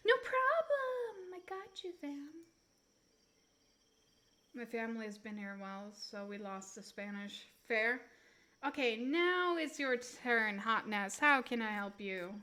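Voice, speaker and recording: A woman's voice: a little high, big pitch swings, measured pace, pushed and bright. A young woman reading tarot on a live stream, rambling and giggling as she chats straight to her viewers; English is not her first language.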